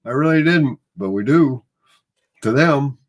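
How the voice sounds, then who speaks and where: A man's voice: medium pitch, animated, unhurried. A middle-aged man with a Midwestern accent, hosting a casual live chat and telling stories in a rambling, easygoing way. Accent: Midwestern American. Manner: easygoing, rambling storyteller, chuckles often. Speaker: host.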